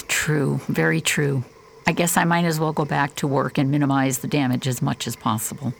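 An actress playing a blunt, weary, principled scientist in a science fiction radio drama. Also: female voice, sits low, flat delivery, normal speed, creaky, a little hiss or hum. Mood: resigned. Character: principled, weary, pessimistic woman scientist at an alien colony.

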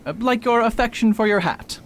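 A man's voice: very high voice, fairly quick, slight background noise. A man playing a confident detective doctor in an amateur radio drama, laying out precise deductions. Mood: self-assured. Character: confident middle-aged male detective doctor.

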